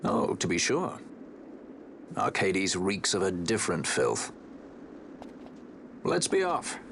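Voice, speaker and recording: An actor playing a suave, sardonic adventurer in a video game, with wry, eloquent, theatrical phrasing. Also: male voice, low voice, sing-song, slow, gravelly, a bit bright, a little hiss or hum, exaggerated voice. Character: suave, sardonic male adventurer, worldly and self-assured. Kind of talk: video game cutscene acting.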